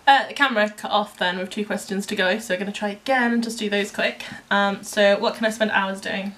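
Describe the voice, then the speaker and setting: A woman's voice: mid-range voice, steady tone, a little fast, fairly bright tone. A young English woman with a mixed English accent, chatting casually and giggling as a vlogger. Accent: mixed English, picks up others' accents. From England. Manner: giggly, chatty, filler-heavy. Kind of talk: casual couple chat.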